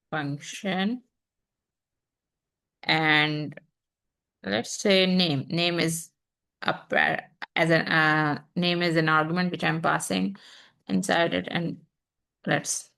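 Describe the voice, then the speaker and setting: A young woman teaching programming in an unhurried, think-aloud way, pausing and restarting as she types examples. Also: on the low side, vocal fry, fairly bright tone. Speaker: programming instructor. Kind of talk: screen-recorded coding tutorial.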